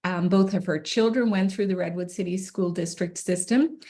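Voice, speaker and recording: A woman's voice: lowish voice, normal speed, warm tone. A middle-aged woman from Ireland, presenting slides to parents at an online meeting in a friendly, informal way with frequent ums, on a computer mic. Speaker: special education administrator.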